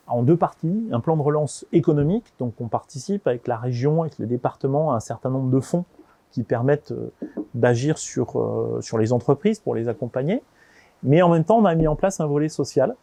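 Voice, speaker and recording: A man's voice: mid-range voice, mellow, dry recording. A man giving informal interview answers as a local government executive, often hesitating and repeating words as he searches for phrasing.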